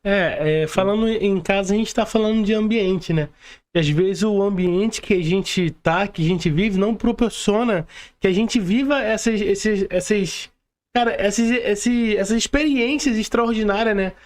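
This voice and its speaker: High-pitched Brazilian man hosting a podcast. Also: moderate pace. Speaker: podcast host. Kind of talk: casual podcast interview.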